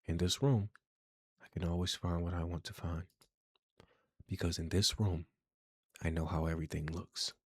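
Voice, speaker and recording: A man narrating a horror game playthrough in a hushed, slow, deliberate reading style. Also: low-pitched, fairly even intonation, breathy edge, dry recording, studio quality. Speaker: game streamer, channel host.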